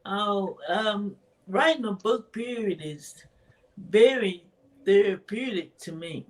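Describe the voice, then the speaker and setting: A woman with a soft voice, speaking hesitantly in a casual interview about her own writing, with frequent laughter. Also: mid-range voice, slow pace. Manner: hesitant, rambling, laughs often. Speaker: interviewee, author. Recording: voice from far off the mic.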